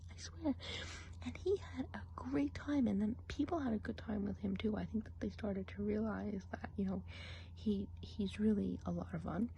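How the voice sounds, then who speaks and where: A woman playing a gossipy witch of the woods in an ASMR role play, chatting along in a soft, rambling whisper. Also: mid-range voice, gravelly, slight background noise, close to an everyday voice, rough recording. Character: gossipy female forest witch.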